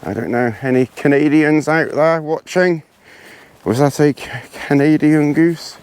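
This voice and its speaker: A hoarse, congested man chatting casually to camera while hiking outdoors in the hills, rambling and poking fun at himself. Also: fairly high pitch, unhurried, a little mellow. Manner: rambling, self-deprecating, chatty. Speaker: vlogger.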